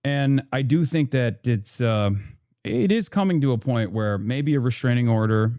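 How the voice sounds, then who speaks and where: Male commentator, mellow mid-pitched voice, very dry sound, casual and measured. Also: animated, dry recording.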